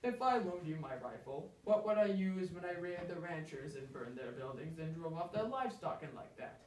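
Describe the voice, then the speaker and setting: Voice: mid-range voice, animated, normal speed, a little mellow, far from the mic, close to an everyday voice. A performer as a wry, plainspoken country man, echoey. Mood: thoughtful. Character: Pinto Jack, gruff, wry rancher-raiding pipe smoker, man.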